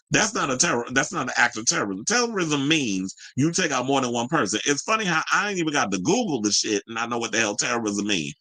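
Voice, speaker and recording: A man's voice: medium pitch, bright, forceful tone, low-quality recording. An American man hosting a news and gossip show, reading stories and adding blunt, profane, sassy asides in colloquial speech.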